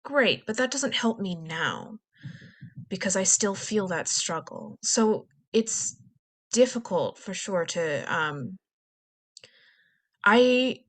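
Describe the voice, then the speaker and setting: A woman panelist reflecting candidly and earnestly, searching haltingly for her words. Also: medium pitch, animated, a bit bright. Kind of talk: candid personal reflection in discussion.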